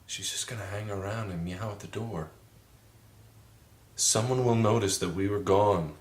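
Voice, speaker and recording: A tense, falsely calm husband. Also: male voice, lowish voice, normal speed, breathy edge. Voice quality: steady, reassuring, forced calm. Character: tense husband driving family across border.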